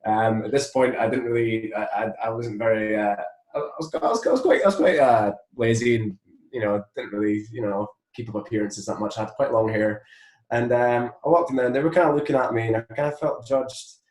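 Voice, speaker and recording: A man's voice: mid-range voice, fairly quick, a little mellow. Scottish man chatting in an echoey room. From Scotland. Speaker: interview guest, musician. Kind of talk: casual anecdotal chat.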